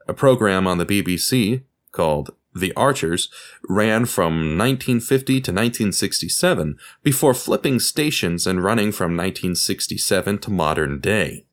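A man narrating a scripted documentary podcast, telling it like a story with deliberate dramatic emphasis. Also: low voice, animated.

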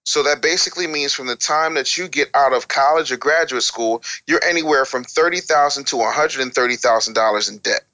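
A man's voice: mid-range voice, brisk, hardly any pauses, bright, forceful tone, poor audio. A male interview guest explaining his opinions bluntly and wryly, with emphatic lists and rhetorical questions. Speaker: interview guest, author and radio producer.

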